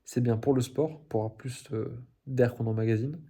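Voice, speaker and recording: A man's voice: medium pitch, normal speed, mellow, slightly rough. A young man chatting casually to the camera in a daily video diary, rambling with many fillers and restarts and laughing at his own slips. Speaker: vlogger.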